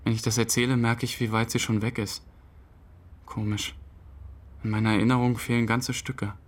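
Male lead, radio drama, played by an actor: wry, grieving, confiding, medium pitch. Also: flat delivery, normal speed, lightly acted. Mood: wistful, reflective. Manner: reflective, dry, confiding. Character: abandoned man, architect, bitter, wry, quietly grieving. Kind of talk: interview-like radio drama monologue.

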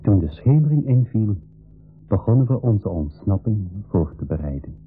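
A man with a Flemish accent playing an adventurer who narrates his travels in a measured, storytelling way for a radio play. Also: on the low side, sing-song, soft background music. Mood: measured, suspenseful. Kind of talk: scripted first-person radio play narration. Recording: muffled, thin old radio recording.

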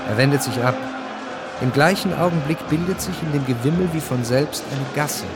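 A man's voice: a little high, brisk, loud music behind the voice, close to an everyday voice. A man narrating a scripted radio play in an urgent, reporting manner. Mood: intent. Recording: loud crowd and noise behind.

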